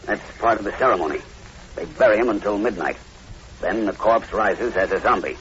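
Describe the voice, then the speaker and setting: An actor playing a middle-aged plantation owner, tense, clipped and authoritative, in old radio horror drama style. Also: male voice, lowish voice, lively intonation, fairly quick, fairly bright tone. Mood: grave. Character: tense, commanding American plantation owner, grimly believing in zombies. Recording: dull lo-fi old radio recording, hiss.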